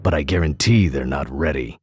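An actor playing a grim, determined covert operative in a video game, speaking tersely. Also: male voice, rumbling low, brisk, over loud music. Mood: resolute. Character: gruff, determined male covert special-forces operative. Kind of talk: video game cutscene acting.

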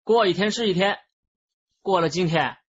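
A man's voice: high-pitched, normal speed, husky, lo-fi sound. An actor playing a brash, slippery streetwise teenage boy in a radio drama, cocky and wheedling.

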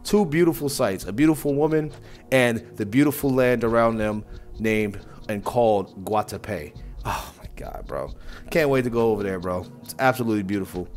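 A man's voice: on the low side, normal speed, a little mellow, faint music behind. A young man hosting a reaction video from a small room at home, talking casually and mockingly into a wired earphone mic. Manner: casual and mocking, says "bro" often. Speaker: reaction channel host.

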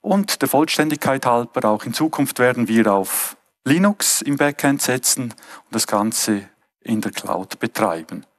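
A middle-aged man with a Swiss German accent gives a conference keynote from a stage lectern, earnest and often pausing to rephrase. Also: medium pitch, animated. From Switzerland. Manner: earnest, with frequent hesitations and restarts. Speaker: keynote speaker.

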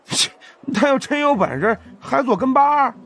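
A cheeky fat junior investigator in an audiobook. The voice is high and creaky, whiny and teasing, jumping around in exaggerated swings. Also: male voice, animated, normal speed, a bit bright, exaggerated voice. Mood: scornful, nosy.

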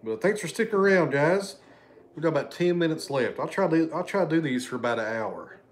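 A man's voice: a little high, lively intonation, brisk. Chatty man on a home livestream. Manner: chatty, thanks viewers by name, loses his train of thought. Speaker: livestream host. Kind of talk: casual livestream chat reading super chats. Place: living room at home, indoors.

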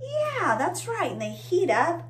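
A woman's voice: very high voice, wildly swooping pitch, a little slow, slight background noise. A woman in her forties with an American accent, reading a picture book aloud to children and explaining things simply with questions. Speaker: children's story time host. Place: small indoor room with bookshelves.

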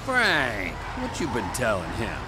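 Bitter, sardonic criminal trucker, lively pitch. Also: male voice. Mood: sly, sardonic. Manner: sardonic, drawn-out, profane. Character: volatile, menacing middle-aged criminal trucker, bitter and sardonic. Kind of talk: video game dialogue. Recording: vehicle noise behind the voice.

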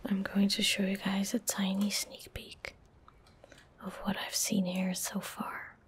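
Female, twenties, for ASMR gameplay narration: hushed breathy whisper, very even pitch, calm and close-miked. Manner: calm, gentle, unhurried. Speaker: streamer narrating her gameplay. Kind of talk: soft-spoken ASMR game commentary. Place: indoor room at a gaming desk. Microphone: close desk microphone.

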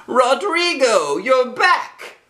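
A doting old lady from a picture book, played by a man, gushes in a raised, high voice. She is delighted and exclaims with animated swoops. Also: very slow, roomy sound, heavily acted. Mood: overjoyed.

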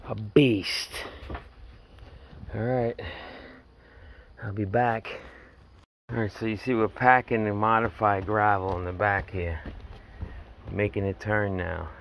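A middle-aged man talks plainly through his do-it-yourself project, holding his phone as he walks around outdoors. His voice sits low, with a light hiss of open air behind it. His talk is rough and unpolished, the way a working man explains a job. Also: fairly even intonation, takes it slowly, pauses often, a bit bright. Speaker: DIY home builder filming his project. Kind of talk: casual walkthrough narration. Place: outdoors at a house, in a dug-out basement stairwell. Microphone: handheld phone camera.

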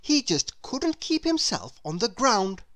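A woman reading a children's story aloud in an expressive, deliberate way. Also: a little high. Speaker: storybook reader. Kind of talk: scripted children's story narration.

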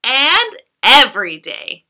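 Lady reading as a sweet little girl, bright lively voice, slow sing-song storybook lines. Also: high voice, full character voice. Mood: cheerful. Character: cheerful little girl, caring and eager.

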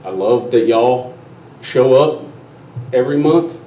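A man's voice: mid-range voice, expressive, takes it slowly, slight background noise. A man on a board, speaking earnestly and emphatically at a meeting. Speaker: board member. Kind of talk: meeting discussion. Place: large meeting room. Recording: echoey room, distant dull camera mic.

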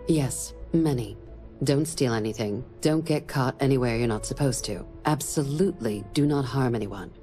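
An actress playing a composed woman diplomatic official in a game, giving a briefing in a measured, formal, dry way. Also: rumbling low, mellow, soft background music. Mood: businesslike. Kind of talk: scripted game dialogue, mission briefing.

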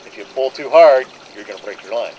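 A man's voice: mid-range voice, animated, brisk, mellow, rough recording. A middle-aged man, a fishing boat captain, giving a practical, plain-spoken briefing to a group on the deck of a docked boat. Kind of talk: instructional briefing to a charter group. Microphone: camera mic from a few feet away. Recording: outdoor camera audio with clear background noise.

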